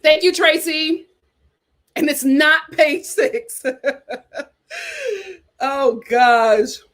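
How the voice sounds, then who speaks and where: A woman with a fairly high voice talks in an animated, sassy way and drops casual slang. She comments loosely and answers comments from a live chat as she goes. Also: very slow. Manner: uses casual slang like "child" and "y'all". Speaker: host.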